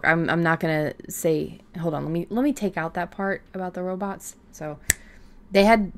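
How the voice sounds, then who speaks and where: A woman with a low voice chats informally as a co-host, easygoing and offhand. Her tone has a gravelly, fry-edged texture. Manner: chatty and informal. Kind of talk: casual chat about writing fiction.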